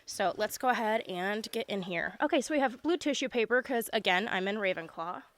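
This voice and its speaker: Hoarse, congested woman chatting through an unboxing. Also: mid-range voice, lively intonation, a little fast. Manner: chatty, lots of ums, gushing over items. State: hoarse and congested from being sick. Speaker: unboxing vlogger. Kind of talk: casual unboxing chat.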